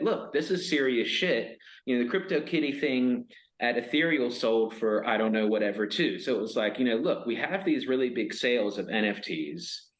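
A man with a naturally loud voice, chatting in a loose, rambling way with plenty of fillers and casual swearing. His pitch sits in an ordinary middle range. The sound carries a noticeable roomy echo. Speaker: podcast guest. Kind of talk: casual storytelling chat. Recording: roomy echoey room sound.

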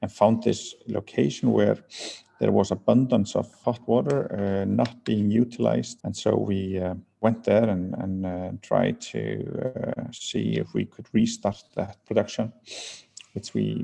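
A man from Iceland answering interview questions as a company founder, speaking haltingly with many fillers and restarts. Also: lowish voice, creaky, dark tone, husky.

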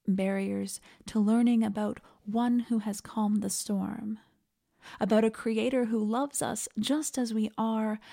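A woman minister delivering a reflective scripted sermon in a measured, earnest way. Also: fairly high pitch, creaky, warm tone. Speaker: minister preaching, podcast host.

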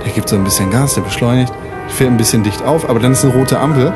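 A man's voice: mid-range voice, quick pace, music in the background. A man improvising scene narration as a player in a tabletop role-playing podcast among friends.

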